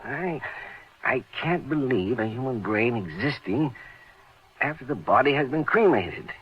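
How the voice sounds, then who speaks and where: A dull, lo-fi radio horror recording. A male actor plays an incredulous, shaken doctor with a mid-pitched voice that swings widely, halting and full of dramatic disbelief. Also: lively intonation, lightly acted. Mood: doubtful, uneasy. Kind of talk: old-time radio horror drama acting.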